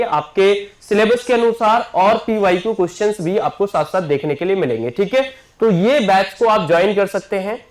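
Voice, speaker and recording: High-voiced coaching teacher. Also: male voice. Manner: repetitive, keeps checking in with listeners. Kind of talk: promotional class announcement.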